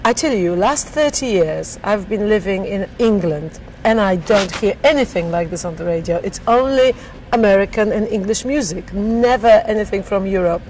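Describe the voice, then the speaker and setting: A woman's voice: medium pitch, normal speed, darkish tone, poor audio. Woman, Polish accent, middle-aged, chatty interview talk, laughs often, faint background hiss. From Poland. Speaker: singer interviewed. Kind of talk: casual interview chat. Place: backstage at a theater.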